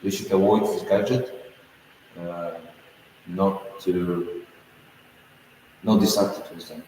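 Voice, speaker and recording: Slow, halting teen boy. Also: sits low, fairly even intonation, mellow, a little hiss or hum. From Kazakhstan. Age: teens. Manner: halting, many filler sounds. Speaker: student. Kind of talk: spoken English exam answers. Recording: echoey room from far off.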